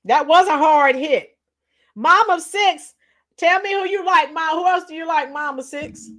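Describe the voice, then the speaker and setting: Hoarse middle-aged woman chatting with viewers. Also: very high voice, a little slow, fairly bright tone. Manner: chatty, reads out comments and sings along to songs. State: hoarse, losing her voice. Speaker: livestream host. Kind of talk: casual livestream chat with viewers.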